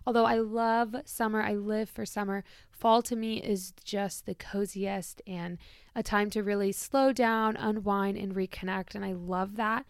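A woman's voice: medium pitch, steady tone, measured pace, clean tone, no echo, studio quality. Chatty young woman podcast host. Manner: bubbly, chatty, with light laughs. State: slightly lowered, shaky voice after an event. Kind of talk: casual podcast monologue.

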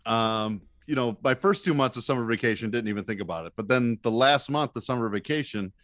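A man's voice: medium pitch, brisk, fairly bright tone, no room sound, studio quality. A man with an American accent telling self-deprecating stories in a casual, comic way as a podcast guest.

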